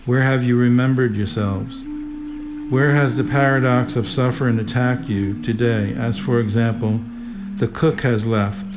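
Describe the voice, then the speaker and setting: Guy with a mid-low voice reading spiritual commentary, slow deliberate teacher style, loud music behind him. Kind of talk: measured read-aloud narration of teachings. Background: prominent music.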